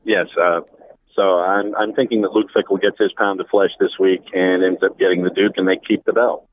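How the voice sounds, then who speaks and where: A man's voice: low voice, little pitch movement, a little fast. A man chatting casually about sports and giving his predictions over a phone call. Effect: phone line. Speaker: sports commentator on the show. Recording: dull phone call with faint noise.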